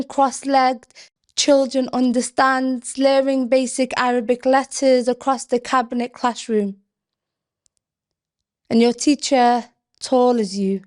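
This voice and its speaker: A woman poet from Britain giving a spoken-word reading, delivered in deliberate, expressive, measured phrases. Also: fairly high pitch. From Britain, of Yemeni family.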